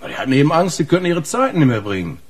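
An actor playing a gruff, wary truck driver in a radio crime drama, talking in blunt, colloquial slang. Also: male voice, a little high, big pitch swings, racing. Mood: sour, grumbling.

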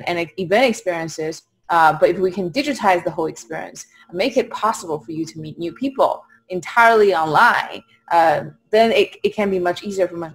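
A young woman with a Chinese accent speaks in a fairly low voice. She answers as a founder being interviewed, in a conversational way, over an indoor video call. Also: rough recording. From Qingdao, China. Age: young adult. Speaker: startup founder, interview guest. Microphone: video call at desk distance.

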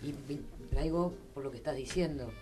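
Female host, mid pitch, agreeable chat, music audible behind. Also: brisk. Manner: frequent agreeing murmurs. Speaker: radio host. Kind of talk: casual radio chat. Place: small radio studio.

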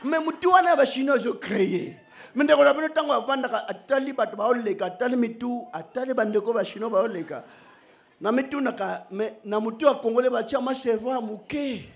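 Middle-aged male preacher, very high voice, lively, joking, shouts at times, stand mic, outdoor stage. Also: brisk. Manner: animated, joking, switching between shouting and soft asides. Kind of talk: sermon. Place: outdoor church stage under a canopy. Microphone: stand microphone.

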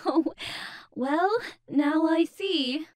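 Very high, flirty goddess played by a woman. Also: a little mellow. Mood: coy. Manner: coquettish, drawn-out endearments. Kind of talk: comic dub character acting.

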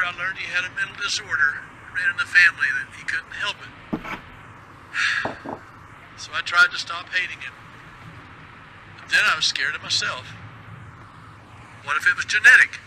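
A reflective late-night radio DJ who talks candidly with his callers in a game. His voice sits high and sounds very bright. Faint noise is heard behind him. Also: rough recording.

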